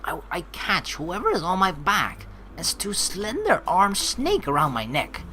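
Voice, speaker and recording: A woman hosting a let's play, reading story narration aloud and reacting with animated, excitable commentary. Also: on the low side, unhurried.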